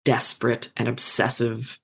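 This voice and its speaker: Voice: medium pitch, completely flat delivery, measured pace, creaky, slightly rough. A fanfiction author in a casual podcast conversation, thoughtful and meandering, with frequent fillers and restarts.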